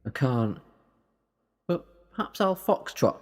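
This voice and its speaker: A man playing a whimsical, animated time-traveller. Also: medium pitch, slow. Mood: playful, flustered. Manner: rambling, playful, theatrical. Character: eccentric, whimsical, defiant time-travelling alien Doctor who looks young. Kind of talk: audio drama acting.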